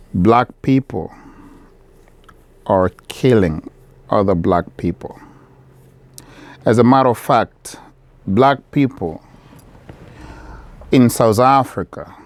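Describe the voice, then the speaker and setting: Slow, earnest low-voiced man. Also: dry recording. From Democratic Republic of Congo. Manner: earnest, measured, repeating key phrases. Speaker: vlogger, business owner. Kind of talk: direct-to-camera appeal. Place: his shop.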